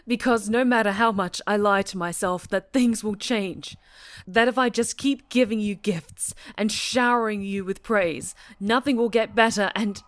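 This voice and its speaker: Slow, menacing superhero captor acted by a woman. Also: a little high, pitched above the performer's own voice. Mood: anguished, bitter. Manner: slow, menacing, condescending, breaking into whispers and shouts. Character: obsessive, domineering female superhero captor, menacing and volatile. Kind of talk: dramatic ASMR roleplay.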